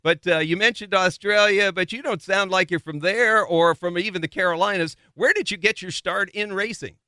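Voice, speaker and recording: A man's voice: high voice, normal speed, sharp tone, clean tone, dry recording. A man hosting a motorsport radio show, interviewing and reading sponsor plugs in a folksy, playful, wordy way.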